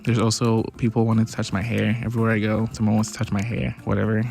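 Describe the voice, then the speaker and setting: A young man from Ghana talking casually to his viewers in a relaxed, chatty way. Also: on the low side, quick pace, vocal fry. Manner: relaxed, chatty, with many restarts and fillers. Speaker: vlogger. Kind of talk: casual talk to camera.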